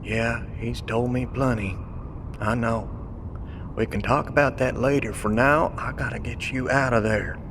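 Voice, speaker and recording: A folksy male survivor, played by an actor, talks plainly in an audio drama. He has a creaky, gravelly edge and a protective, colloquial way of speaking. Clear background noise surrounds him. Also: medium pitch, steady tone, natural voice. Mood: urgent, steadying. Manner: folksy, colloquial, drawn-out reassurance. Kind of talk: naturalistic audio drama acting. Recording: clearly noisy background ambience.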